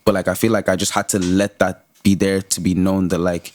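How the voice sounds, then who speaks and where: Fast, low-voiced young man, lightly Irish. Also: flat delivery, a little mellow. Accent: lightly Irish, American-tinged. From Ireland. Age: young adult, about 20. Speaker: guest, rapper. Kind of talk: casual chat.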